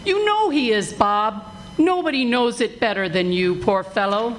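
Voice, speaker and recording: A woman playing a motherly, sharp-tongued working-class wife in a live radio play, with emphatic, drawn-out delivery. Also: on the high side, lively intonation, a little hiss or hum, close to an everyday voice. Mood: indignant, scornful. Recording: music behind live stage performance.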